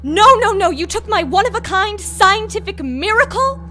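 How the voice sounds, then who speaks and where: A woman playing a haughty, sharp-tongued scientist in an audio drama, emphatic and full of sarcasm. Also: extremely high pitch, very animated, moderate pace, faint music behind, full character voice. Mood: outraged, sarcastic. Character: haughty, furious female scientist, sharp-tongued and self-righteous.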